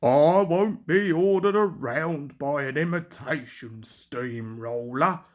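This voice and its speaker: A woman's voice: sits low, a little mellow, pitched below the performer's own voice. A woman voicing a rude, proud male steamroller with a haughty manner in a children's storybook. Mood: proud, scornful. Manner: sneering, slow, haughty.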